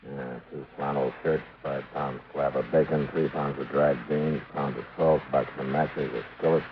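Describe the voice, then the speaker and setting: A tinny, lightly hissing vintage radio recording carries a no-nonsense American frontier marshal. The man's voice is low, and he speaks in a terse, dry, probing way in western drama style. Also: steady tone, normal speed. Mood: businesslike. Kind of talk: old-time radio western acting. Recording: thin, noisy old radio recording.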